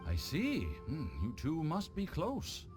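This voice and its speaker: A man's voice: a little high, wildly swooping pitch, slow, over music, full character voice. A man voicing a polite, knowing and shady business contact in a video game. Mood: courteous, curious. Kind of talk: scripted game dialogue.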